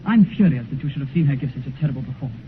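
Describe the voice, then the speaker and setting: An actor playing a passionate, impressionable and petulant young gentleman with an upper-class English accent, earnest, in a 1950s radio drama. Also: medium pitch, animated, rapid-fire, natural voice. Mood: cold, petulant. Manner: earnest, ardent. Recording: tinny, hissy 1950s radio recording.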